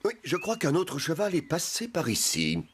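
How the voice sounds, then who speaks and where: A sure-of-himself cartoon animal scout, highish bouncy voice, explains things. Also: male voice, wildly swooping pitch, normal speed, slight background noise. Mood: confident. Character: confident male tracker animal, calm and reassuring. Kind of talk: French dubbed children's cartoon acting.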